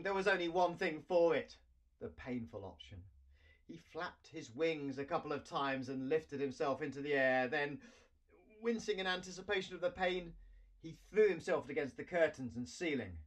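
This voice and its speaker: A man in his forties giving an expressive reading of a children's story, as its author. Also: on the high side. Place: small cluttered room indoors.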